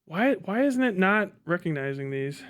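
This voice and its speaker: A man's voice: high voice, big pitch swings, moderate pace, fairly bright tone. A man doing casual livestream commentary, swearing and muttering to himself at a close mic. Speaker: livestream host reacting to a video.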